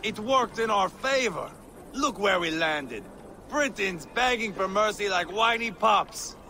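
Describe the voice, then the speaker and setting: An actor playing a brash, crude Viking chieftain in a game cutscene, mocking and hot-tempered. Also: male voice, high voice, lively intonation, measured pace, pushed and bright, exaggerated voice. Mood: scornful. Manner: mocking, crude, growling insults. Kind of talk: video game cutscene acting.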